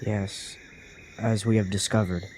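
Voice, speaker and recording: A man's voice: lowish voice, little pitch movement, measured pace, a little mellow, a little hiss or hum, close to an everyday voice. A man playing a cautious, earnest young traveler in a fantasy audio drama, speaking formally as an anxious protector. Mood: wary.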